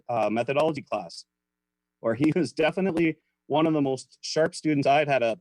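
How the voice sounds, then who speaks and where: A man's voice: medium pitch, no room sound, low-quality recording. A man hosting an academic webinar, giving a prepared, formal introduction. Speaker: webinar host introducing a speaker.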